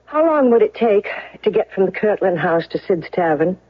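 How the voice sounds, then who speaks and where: A woman's voice: mid-range voice, sing-song, normal speed, slightly rough. A woman playing a shrewd, self-assured defense lawyer in a radio drama, with a probing, direct, businesslike manner. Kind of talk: 1970s radio drama acting. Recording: muffled old radio broadcast recording.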